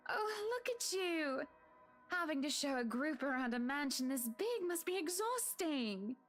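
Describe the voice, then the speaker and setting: A pushy, wealthy woman with a high, sweetly condescending voice, as a man puts her on. She drawls her words slowly and patronizingly, like she owns the room. Faint music plays behind the visual novel reading. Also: big pitch swings, a bit bright, exaggerated voice. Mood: sugary. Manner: syrupy, slow, patronizing.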